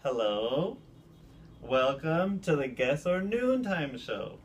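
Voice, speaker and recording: A man hosting a casual interview, friendly and quick to laugh, putting questions to his guest. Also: on the high side, very animated, a little hiss or hum. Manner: friendly, laughs easily, offers short comments. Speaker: interview host.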